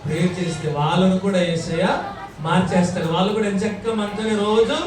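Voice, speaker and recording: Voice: medium pitch, fairly quick. Echoey, distant children's show host. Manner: encouraging, prompts children to respond. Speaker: children's ministry host talking with puppet. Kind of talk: live children's show hosting. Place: church hall with children. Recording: echoey hall, distant mic, loud noisy crowd.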